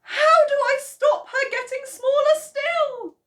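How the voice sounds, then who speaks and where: A woman voicing a frantic, tearful wife who wails in a shrill, high voice. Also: level tone, slow pace, sharp tone, recorded from a distance, full character voice. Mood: wailing. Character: frantic wailing middle-aged wife.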